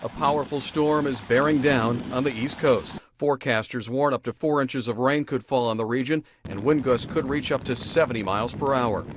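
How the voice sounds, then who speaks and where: A man's voice: mid-range voice, normal speed, hardly any pauses. American male correspondent over street noise. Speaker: network news correspondent. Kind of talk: scripted news report.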